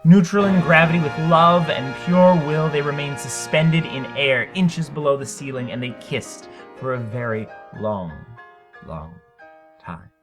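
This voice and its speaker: A man's voice: on the high side, over music. A man narrating a short story as a dramatic reading, with theatrical, emphatic delivery. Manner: theatrical, emphatic, deliberate phrasing.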